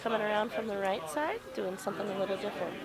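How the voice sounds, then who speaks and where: A woman's voice: mid-range voice, fast, over a noisy crowd. A woman with a cold giving casual, joking play-by-play of a curling match at a club rink. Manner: joking, rambling, soft-spoken. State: has a cold. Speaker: curling commentator.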